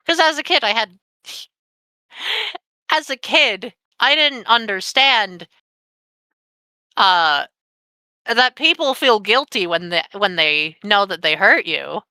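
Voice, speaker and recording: A nineteen-year-old woman telling a painful personal story on a podcast, candid and sweary with heavy pauses. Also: medium pitch, sharp tone, clean tone, no room sound, rough recording. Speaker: podcast guest.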